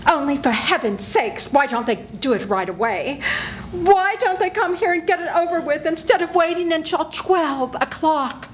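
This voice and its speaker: A woman's voice: high-pitched, lively intonation, moderate pace, slight background noise. An older woman playing a terrified woman who hides a guilty secret, talking frantically and pleading in an old-time radio drama performed live. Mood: desperate. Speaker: senior theater performer.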